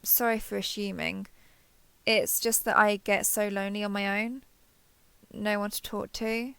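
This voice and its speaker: A woman acts out a female alien passing as a human survivor in an intimate audio roleplay. Her voice is very dry and close, with a frequent creaky edge. She is hesitant and coaxing, eager to please and quick to cling. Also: mid-range voice, steady tone, fairly bright tone, dry recording. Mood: friendly, coaxing, then lonely, pleading.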